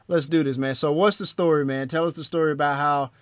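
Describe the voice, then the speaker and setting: A man's voice: a little high, fairly even intonation, fast talker, no echo. A man hosting an interview podcast in a casual, slangy and affirming way. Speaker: podcast host.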